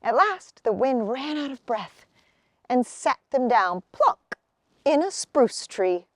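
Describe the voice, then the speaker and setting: Slow, high-pitched, expressive woman storyteller. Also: dry recording, studio quality. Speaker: storyteller reading a picture book aloud. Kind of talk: expressive children's storybook narration.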